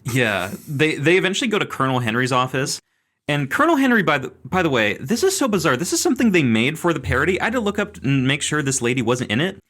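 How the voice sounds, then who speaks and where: Male podcast host, animated storytelling, lively pitch, bright tone. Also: quick pace. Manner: animated storytelling with put-on quotes and laughs. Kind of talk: casual chat.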